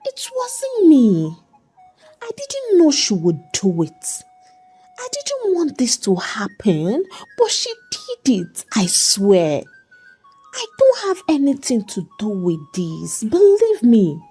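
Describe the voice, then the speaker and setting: A woman's voice: fairly high pitch, huge pitch swings, takes it slowly, dark tone, husky, faint music behind, pitched above the performer's own voice, full character voice. A woman voicing a distraught, guilt-ridden young wife in a dramatic story, tearful, trembling and pleading.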